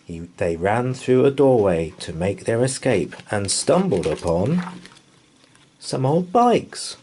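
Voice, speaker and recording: A man's voice: medium pitch, very animated, takes it slowly, dark tone, a little hiss or hum. A man reading a children's bedtime story aloud in rhythmic verse, with a theatrical, dramatic delivery. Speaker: storybook reader.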